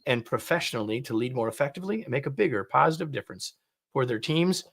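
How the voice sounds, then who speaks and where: A man's voice: medium pitch, expressive, fast, vocal fry, fairly bright tone. An older man hosting a leadership podcast, reading promos and chatting with a joking manner. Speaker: podcast host. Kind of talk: podcast interview.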